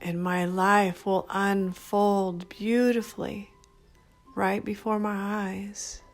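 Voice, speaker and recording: A woman leading a guided meditation in a gentle, soothing, unhurried manner, speaking in short phrases. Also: medium pitch, little pitch movement. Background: background music.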